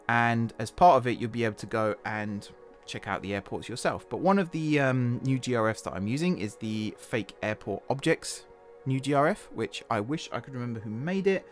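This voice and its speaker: Chatty man narrating gameplay. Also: mid-range voice. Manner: rambling, self-correcting, chatty. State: slightly hoarse. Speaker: gaming channel host. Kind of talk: casual gameplay voice-over. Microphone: desktop voice-over mic.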